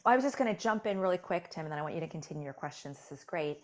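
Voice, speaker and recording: A woman with a slightly low voice speaks as an author guest in a conversational interview. Her tone is encouraging and affirming, and she pads her speech with "you know". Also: animated, racing.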